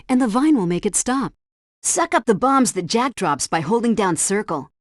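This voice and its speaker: A woman plays a helpful female helpdesk guide in a video game. She delivers scripted tutorial instructions with precise, instructive diction at a medium pitch. The sound is close and dry. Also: dry recording, close to an everyday voice.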